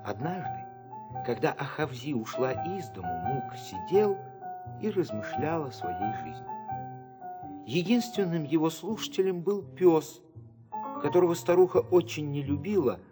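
A man narrating a scripted fairy tale in an expressive, measured storytelling manner. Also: on the high side. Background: music.

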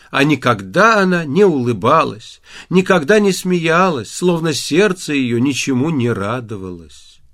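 Animated male fairy-tale storyteller. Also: a little high. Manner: theatrical, folksy storytelling. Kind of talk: expressive fairy-tale audiobook narration.

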